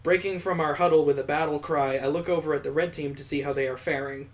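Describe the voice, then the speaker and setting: A man's voice: fairly high pitch, steady tone, fast talker, soft background music. A man reading a visual novel aloud as a streamer, breaking off for sarcastic, amused asides. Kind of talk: reading game text aloud with joking asides.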